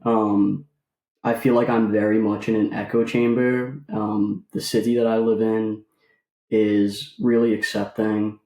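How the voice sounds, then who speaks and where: A man's voice: on the low side, little pitch movement, unhurried, dark tone, reverberant, rough recording. Irish-English mixed accent, twenty-six-year-old man. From Ireland. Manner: easygoing and self-deprecating, hesitant with repeated "um"s. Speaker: podcast guest. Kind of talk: casual interview.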